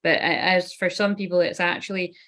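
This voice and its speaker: A woman's voice: lowish voice, flat delivery, fairly quick, fairly bright tone. Rambling woman doing a casual tarot reading. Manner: rambling, loose and swears casually. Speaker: tarot card reader.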